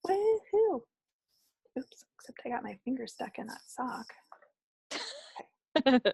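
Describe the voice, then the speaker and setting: A woman's voice: high voice, big pitch swings, a little slow, gravelly, dark tone, breathy edge, no echo. A woman co-hosting a craft podcast, chatting casually in a rambling, playful way. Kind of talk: casual chat about projects. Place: recorded at own end of a remote video call.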